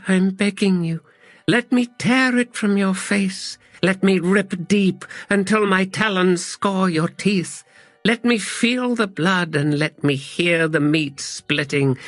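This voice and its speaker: A man puts on the high voice of a fierce young girl in an audiobook, far above his own register, chanting her lines slowly and with impassioned force. The recording is dry and close. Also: no echo.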